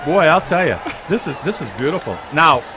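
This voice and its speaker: A man's voice: fairly high pitch, big pitch swings, a little fast. A man giving earnest, detailed mock live parade commentary as the straight man of a broadcast team. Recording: noisy outdoor broadcast with crowd behind. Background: crowd and outdoor street noise.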